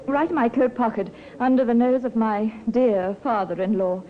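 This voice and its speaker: An actress playing a composed, scheming young widow in a 1950s radio drama, with halting, theatrical delivery. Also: female voice, on the high side, steady tone, lightly acted. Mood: cool, controlled. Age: young adult. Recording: tinny, hissy 1950s radio recording.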